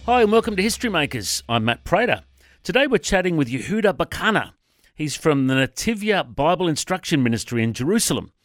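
A man's voice: fairly high pitch, sing-song, fairly quick, faint music behind. Man hosting a radio interview. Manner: prompting with short, simple questions. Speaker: radio show host.

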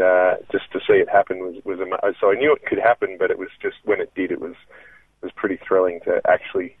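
A man's voice: deep voice, no echo. An Australian man from Melbourne, a comedian and impressionist, speaking earnestly in a casual interview, often restarting his sentences. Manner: earnest, halting, many restarts. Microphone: phone. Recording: tinny dry phone line.

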